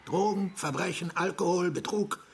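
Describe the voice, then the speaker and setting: A man playing a gruff older police chief in a German radio crime drama, sarcastic and commanding. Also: sits high, moderate pace, a little hiss or hum, lightly acted. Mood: sardonic.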